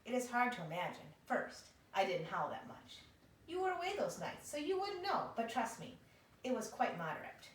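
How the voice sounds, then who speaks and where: A self-pitying, indignant pet dog, played by a woman pleads and grumbles theatrically in an expressive storybook reading. The sound is echoey, picked up at some distance from the microphone. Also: on the high side, moderate pace, slight background noise, voice pushed up. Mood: aggrieved complaining. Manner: theatrical, wheedling complaint. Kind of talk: expressive picture-book read-aloud.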